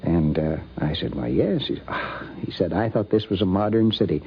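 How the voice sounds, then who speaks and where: Low, gravelly older American man reminiscing. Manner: halting, deliberate delivery. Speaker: interviewee recalling a friend. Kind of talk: unscripted anecdotal reminiscence. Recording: dull vintage radio recording.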